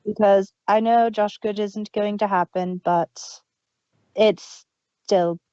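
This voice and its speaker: A woman's voice: medium pitch, fairly even intonation, slow pace, dark tone, no echo. A woman co-hosting a casual review podcast, chatting with fan enthusiasm and trailing restarts. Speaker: podcast co-host. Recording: dull lo-fi dry sound.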